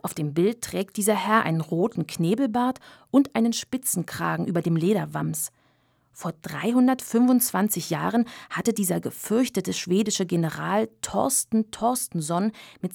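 A woman's voice: lowish voice, animated, normal speed, vocal fry, a little mellow, no echo, clean studio sound. A woman narrator reading a scripted children's story in a radio play.

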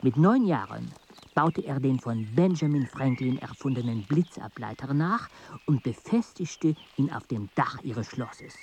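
Man, narrator, scripted radio drama, measured delivery, mid pitch. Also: slight background noise. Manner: measured, even storytelling.

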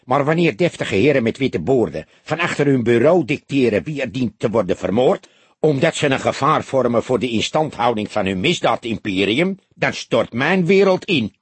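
A man's voice: medium pitch, expressive, normal speed, husky, no room sound. A man voicing a small, loyal, bitter underworld café owner in an audiobook, speaking earnestly with some venom. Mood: bitter, venomous.

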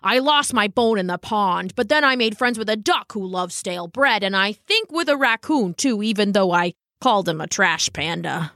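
A woman's voice: mid-range voice, lively intonation, normal speed, few pauses, sharp tone, no echo, studio quality. A woman voicing a humble, earnest old male dog in a storybook, speaking hesitantly and sincerely. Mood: contrite. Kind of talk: storybook character voice.

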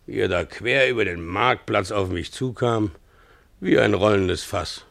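A man's voice: lowish voice, a little slow, gravelly, a bit bright, dry recording. A man playing a resentful, pious, poor donkey driver in a German radio play, grumbling with sanctimonious grievance. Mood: resentful, disdainful. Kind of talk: German radio play, character monologue and dialogue.

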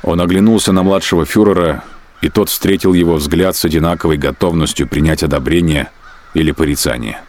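A man narrating a literary radio play in a measured, grave manner. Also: extremely low pitch, darkish tone, a little hiss or hum.

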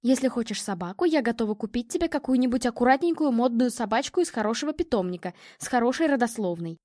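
A woman's voice: on the high side, animated, quick pace, dark tone, dry recording, clean studio sound, close to an everyday voice. A woman voicing a domineering, snobbish mother in a story, cutting, sarcastic and commanding. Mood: commanding, scornful.

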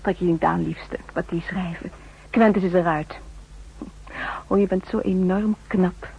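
Doting lover, muffled vintage radio. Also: female voice, lowish voice, fairly even intonation, a little slow, vocal fry, slightly rough, exaggerated voice. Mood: tender, anxious. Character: doting, anxious woman, spy chief's secret lover. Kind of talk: 1960s Dutch radio drama acting. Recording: dull, faintly noisy 1960s radio recording.

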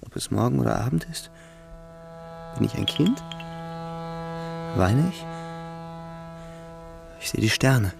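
Creaky, brooding soldier narrator. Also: male voice, mid-range voice, animated, slow, long pauses, over music, exaggerated voice. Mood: brooding, tense. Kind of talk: literary narration in a radio play.

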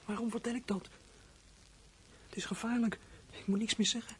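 An actor playing a frightened, defensive outcast boy in a radio play, speaking in a halting, stammering, emotional way. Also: mid-range voice, fairly even intonation, a little hiss or hum, lightly acted. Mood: reluctant, confessing.

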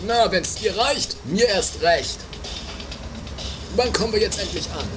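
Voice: mid-range voice, expressive, unhurried, lightly acted, rough recording. A performer playing Otto, a grumbling, self-important husband in an improvised comedy radio play. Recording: music under amateur 1980s tape.

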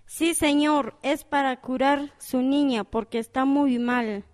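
A woman with a Guatemalan accent acting a polite, matter-of-fact doctor in an amateur radio drama, speaking formally and deliberately. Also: high-pitched, steady tone, a little mellow. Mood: serious, explaining. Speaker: actor in community radio drama.

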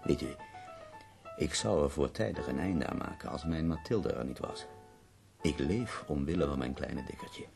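An actor playing a dying, bedridden poet in a radio play, theatrical and wry, complaining with witty self-pity. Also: male voice, a bass voice, expressive, normal speed, gravelly, over music, exaggerated voice. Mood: sardonic.

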